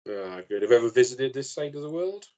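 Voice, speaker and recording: A man's voice: mid-range voice, animated, fast, darkish tone. A man from Wales with a Welsh accent, a podcast guest chatting in a friendly, slightly hesitant way. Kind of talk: casual chat.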